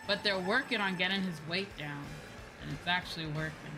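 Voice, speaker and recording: Music plays clearly behind a woman with a fairly low-set voice. She talks like a livestreamer in the middle of a game, casual and informal. Her speech rambles into asides and is peppered with swearing. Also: brisk, low-quality recording. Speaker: livestreamer, VTuber host. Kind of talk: casual chatty gaming stream talk.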